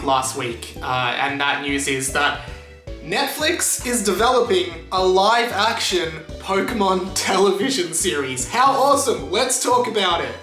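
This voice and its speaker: An Australian man gives casual, enthusiastic commentary as a YouTube host. His voice sits slightly high and has a crisp, bright edge. The room adds noticeable echo, and his delivery stays conversational. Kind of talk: casual pop culture commentary to camera. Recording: roomy echo, music underneath.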